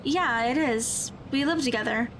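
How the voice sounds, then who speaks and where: Woman voicing an earnest, high-voiced teenage girl. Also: unhurried, a bit bright, slight background noise. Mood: nervous. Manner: hesitant, formal wording, earnest. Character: awkward, earnest, lovestruck teenage schoolgirl. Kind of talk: audio drama acting.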